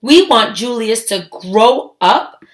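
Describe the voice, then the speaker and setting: A woman's voice: on the high side, lively intonation, takes it slowly. A woman voicing doting parents in a children's storybook, gushing over their baby in a sing-song way. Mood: adoring. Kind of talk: children's storybook read-aloud.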